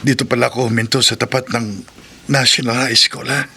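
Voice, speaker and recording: A man's voice: mid-range voice, flat delivery, fairly quick, sharp tone, slightly rough, heavily acted. A man with a Filipino accent playing a humble, hurt taxi driver husband in a melodramatic radio drama. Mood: puzzled. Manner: earnest, emotive, melodramatic.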